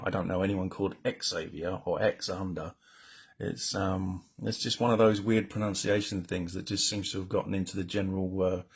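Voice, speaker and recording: A man with a low, mumbling voice gives casual, rambling commentary while drawing on a livestream as a comic colorist, with self-deprecating asides. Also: husky.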